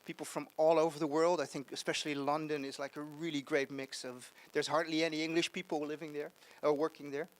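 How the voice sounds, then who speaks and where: A man giving a relaxed conference talk on stage, pausing often with ums. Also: a little high. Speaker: conference speaker.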